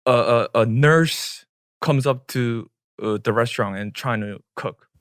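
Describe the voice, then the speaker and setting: A man's voice: medium pitch, unhurried, no echo. Korean-speaking man explaining in dry English. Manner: explains at length, slipping into Korean phrases mid-sentence. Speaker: podcast co-host. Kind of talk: casual podcast discussion.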